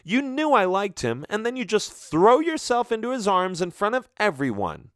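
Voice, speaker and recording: A man's voice: high-pitched, sing-song, normal speed, fairly bright tone, clean tone, no echo, clean studio sound, voice pushed up. Daphne, a man voicing a defiant teenage girl. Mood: furious. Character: teenage girl, guilty but defiant and hot-tempered.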